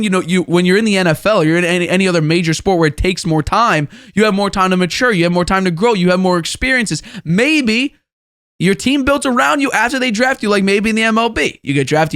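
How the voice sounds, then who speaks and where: A man's voice: sits high, a bit bright, clear, resonant tone, dry recording, clean studio sound. A twenty-year-old man hosting a sports show, giving conversational, opinionated commentary and repeating phrases for emphasis. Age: 20 years old.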